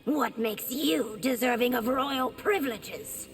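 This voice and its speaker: A woman's voice: a little high, animated, slow pace, long reverb tail, soft background music. An actress playing a haughty, dismissive royal warrior woman in video game dialogue. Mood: haughty, cool. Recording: faint background ambience noise.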